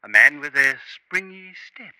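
Genteel accused professor, hesitant, lively swooping pitch, piercing tone, vintage radio drama. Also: male voice, moderate pace, no room sound, low-quality recording. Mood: polite, evasive. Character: polite, evasive male professor accused of murder. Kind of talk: 1950s radio acting. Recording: vintage 1950s radio recording.

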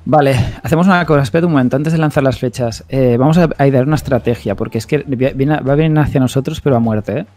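A man's voice: medium pitch, quick pace, hardly any pauses, a little mellow. A man in his twenties with a Spain accent, chatting casually on a close mic while streaming a game. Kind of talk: casual chat while gaming. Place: indoor gaming setup.

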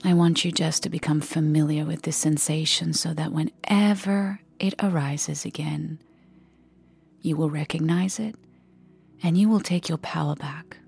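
A woman guiding a meditation in a soft, breathy, husky voice that often nears a whisper, gentle and unhurried with long pauses. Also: deep voice, soft background music. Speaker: meditation guide. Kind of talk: guided meditation, soft and soothing delivery.

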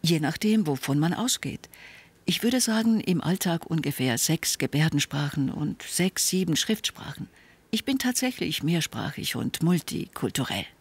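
A man reading a calm, measured first-person voice-over that translates signed speech in an interview. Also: fairly high pitch, husky.

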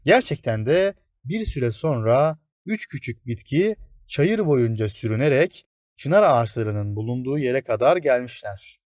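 A man's voice: medium pitch, lively intonation, unhurried, a little mellow, no room sound, studio quality. A man reading a scripted children's fairy tale as a storyteller.

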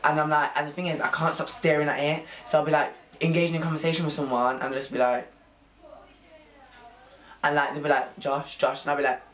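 A hoarse young man from England with a Nottingham accent, chatting playfully to camera in his room. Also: on the high side, brisk, reverberant. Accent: Nottingham English. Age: young adult. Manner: chatty, animated, playful slang. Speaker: vlogger. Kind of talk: casual chat to camera. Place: bedroom at home, indoors.

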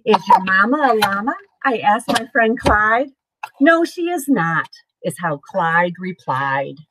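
Animated middle-aged woman reading to kids. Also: mid-range voice, slow, slight background noise, poor audio. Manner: animated, sing-song emphasis for young listeners. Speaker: storyteller reading a picture book aloud to children. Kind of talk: expressive children's read-aloud. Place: small home room with bookshelves, indoors.

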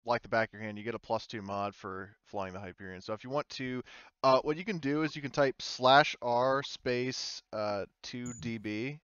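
A man's voice: mid-range voice, moderate pace, a bit bright, clear, resonant tone, no echo, dull audio. A man acting as game master, informally narrating and explaining an online tabletop roleplaying game with hesitant phrasing.

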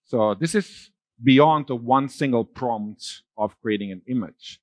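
A middle-aged man giving a conference keynote on a large dark stage, talking loosely with fillers and restarts. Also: medium pitch, unhurried, no echo. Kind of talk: keynote talk with slides.

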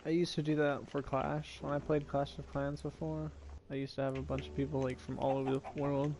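A young man talking casually with a friend during a video game, with quick teasing asides. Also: medium pitch, level tone, darkish tone, slight background noise. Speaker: co-player. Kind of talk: casual gaming chat. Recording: music playing behind.

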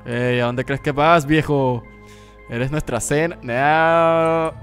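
A young man chatting casually with friends while playing a video game, excitable and playfully teasing. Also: a little high, takes it slowly, soft background music. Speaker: gaming video host, player. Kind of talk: casual gameplay chat with friends.

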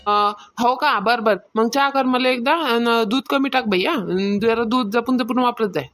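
Cartoon mistress of the house, played by a woman: higher-pitched, bossy, stingy, self-important, nagging in rustic Varhadi Marathi. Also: moderate pace, a bit bright, soft background music, lightly acted. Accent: Varhadi Marathi, rural Maharashtra. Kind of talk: Marathi cartoon comedy skit.

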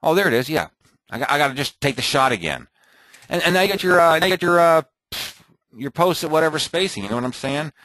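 A man thinking aloud and muttering to himself as he clicks through an off-the-cuff software tutorial at his computer. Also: fairly high pitch, big pitch swings, quick pace, no room sound. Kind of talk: off-the-cuff screen recording tutorial.

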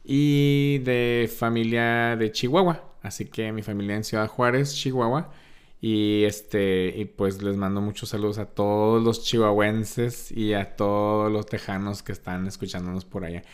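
A man in his thirties from Texas, a guest on a casual podcast, relaxed and hesitant, speaking into a studio mic. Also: fairly low pitch, measured pace, smooth. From El Paso, Texas. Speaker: guest, actor and singer. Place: small decorated studio room. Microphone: studio mic on boom arm, headphones.

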